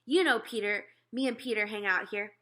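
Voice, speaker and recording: A woman's voice: mid-range voice, moderate pace, fairly bright tone, lightly acted. A woman voicing an indignant, exasperated fourth-grade boy in a storybook. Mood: insistent. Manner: exasperated, insistent.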